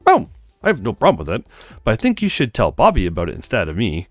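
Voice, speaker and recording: A man's voice: on the low side, huge pitch swings, fast talker, dry recording, soft background music. A man voicing a smitten, modest young woman in her twenties, eager and a little flustered, in a visual novel.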